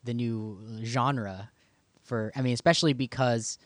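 A man's voice: mid-range voice, measured pace, no room sound. A man co-hosting a radio show, talking in a conversational discussion style.

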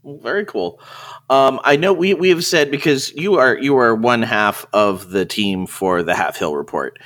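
A man's voice: medium pitch, animated, normal speed, fairly bright tone. A man hosting a podcast, interviewing in a casual, conversational style.

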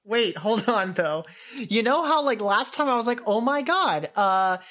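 A woman co-hosting a podcast, chatting casually in an excitable, informal way. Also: on the high side, unhurried. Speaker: podcast co-host. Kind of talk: casual podcast chat about a radio drama.

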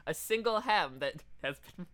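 A man's voice: sits high, expressive, moderate pace, fairly bright tone. A man co-hosting a comedy podcast, talking in informal, joking patter. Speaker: podcast co-host. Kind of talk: casual comedic banter, mock ad read.

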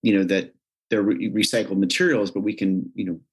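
A man from the States with a somewhat low voice talks easily and conversationally, sprinkling in "you know" as he explains. He sounds relaxed and at home in a business interview. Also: brisk, breathy edge. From United States. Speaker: company executive, guest. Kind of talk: interview answers.

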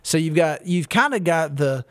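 Man, podcast host, slightly high voice, very dry close sound, joking, restarts a lot. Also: lively intonation, normal speed, fairly bright tone, no echo. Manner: joking, talks through ideas with restarts. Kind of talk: casual chat.

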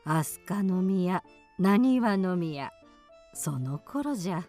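A woman's voice: fairly low pitch, animated, drawn-out words, a little mellow, soft background music. A woman playing a centuries-old, mysterious and stern old mountain woman with archaic, weighty speech in a radio drama. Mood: cryptic, calm.

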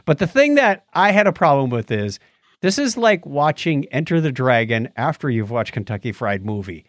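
Middle aged guy on a podcast, rambly casual review chat, really lively pitch, no room echo. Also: moderate pace, studio quality. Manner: rambling, groping for names. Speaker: podcast co-host.

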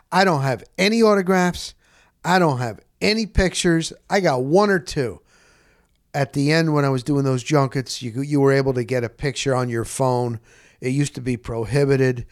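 A middle-aged man telling a personal story casually as a broadcast host, acting out the conversations he recounts in an animated way. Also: a little high, no room sound, clean studio sound.

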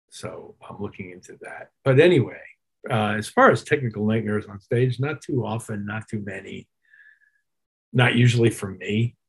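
Husky-voiced man in interview. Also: on the low side, low-quality recording. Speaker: musician guest, touring keyboard player. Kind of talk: interview chat, telling career anecdotes.

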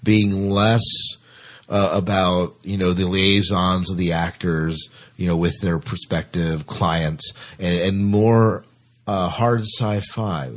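Low-pitched, slightly husky male podcast co-host. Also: fairly even intonation, slow. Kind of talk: casual podcast chat.

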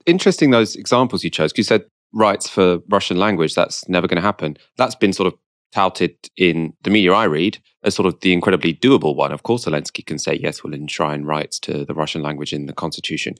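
Guy doing an interview, deep voice, probing questions, really dry close sound. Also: brisk, fairly bright tone, dry recording.

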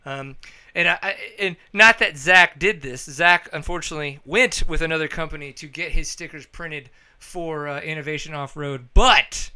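A man hosting a casual podcast, joking loudly and reading sponsor plugs with lots of energy. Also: a little high, normal speed, clean tone. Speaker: podcast host. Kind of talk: casual podcast chat with ad reads.

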